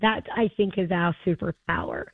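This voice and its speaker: A hoarse woman in her forties with an Australian accent, a festival co-founder answering interview questions enthusiastically, with many fillers and restarts. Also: fairly low pitch, fairly even intonation, normal speed, no room sound. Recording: tinny phone line, dry.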